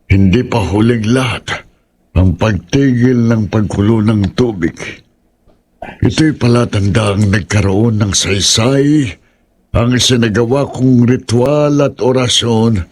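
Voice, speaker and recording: A man acting an earnest, principled older ritualist in a horror radio drama, speaking in a husky, pleading manner. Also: mid-range voice, takes it slowly, darkish tone. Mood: relieved.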